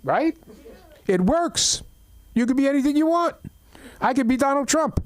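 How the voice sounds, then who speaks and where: A middle-aged man doing an open mic stand-up set, rambling through stories with stammered restarts and deadpan complaints. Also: extremely high pitch, moderate pace, noisy background. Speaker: stand-up comedian. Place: comedy club open mic.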